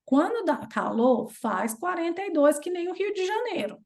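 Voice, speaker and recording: A Brazilian woman in her thirties with a Brazilian Portuguese accent, a guest chatting casually and telling stories in an animated way. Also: moderate pace. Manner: chatty and animated, playful jumps in pitch when retelling. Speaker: interview guest. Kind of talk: casual storytelling chat.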